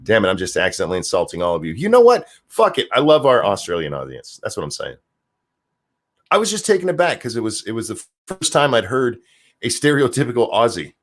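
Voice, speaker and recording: A man hosting a podcast, chatting casually and reading news articles aloud with a jokey, animated delivery. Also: on the low side, quick pace. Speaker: podcast host.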